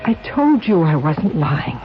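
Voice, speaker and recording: A woman's voice: low-pitched, sing-song, unhurried, over loud music. An actress playing an earnest, conscience-stricken woman doctor, speaking seriously and urgently in a radio drama. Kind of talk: 1970s radio drama acting. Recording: dull, hissy old radio recording.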